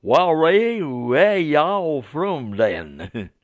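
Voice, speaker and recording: A man's voice: on the high side, big pitch swings, very slow, no room sound, voice pushed up, heavily acted. A man voicing a zealous, naive Southern American missionary in a told story, with a folksy, preachy drawl. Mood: earnest zeal.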